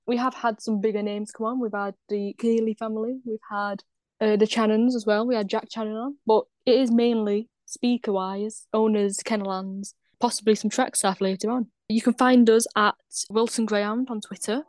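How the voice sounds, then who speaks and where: A woman's voice: a little high, fairly even intonation, normal speed, darkish tone, no echo. A woman, giving a plain, matter-of-fact rundown of her online show. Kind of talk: pre-recorded plain announcement.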